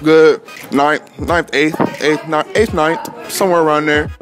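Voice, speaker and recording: A young American man hosting playful interviews at a high school in a casual, slangy way. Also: steady tone, a little slow, music in the background. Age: young adult. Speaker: host of street-style prank interviews. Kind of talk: casual joking public interview. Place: indoors at a high school, rooms and hallway.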